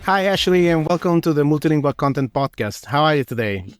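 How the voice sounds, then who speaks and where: A male podcast host fires off his questions quickly and casually, leaning on filler words as he goes. The sound is dry and close with no room echo. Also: fairly high pitch, expressive, faint music behind. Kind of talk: interview questions.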